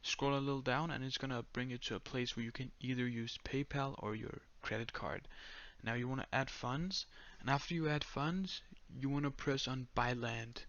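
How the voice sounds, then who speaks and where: A young man with a Danish accent giving a step-by-step screen-recorded tutorial in a flat, monotone voice full of "uh"s and "um"s. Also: medium pitch, normal speed, few pauses, lo-fi sound. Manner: monotone, many fillers. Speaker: tutorial creator.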